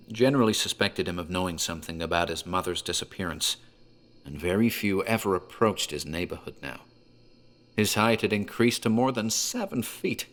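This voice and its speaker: A man playing a talkative rural tavern landlord, telling a dark local horror tale in a measured, dramatic way with pauses. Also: on the low side.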